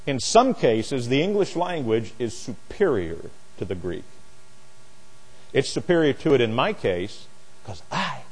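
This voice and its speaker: A man's voice: mid-range voice, a little mellow, slightly rough, poor audio. Guy preaching bible study, folksy and blunt, voice goes up and down a lot for emphasis. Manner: emphatic, opinionated, plain-spoken. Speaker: pastor teaching a Bible study. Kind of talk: sermon, expository Bible teaching.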